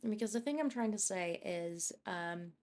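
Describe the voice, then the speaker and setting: A woman's voice: medium pitch, animated, moderate pace, a little mellow. A young woman from Poland, chatting casually as a YouTuber while she builds in a game, rambling and thinking aloud.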